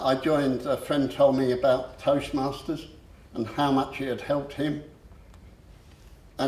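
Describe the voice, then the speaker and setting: A man with a stammer giving a personal talk, slow and halting with frequent pauses and fillers. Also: mid-range voice, a little mellow. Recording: echoey room.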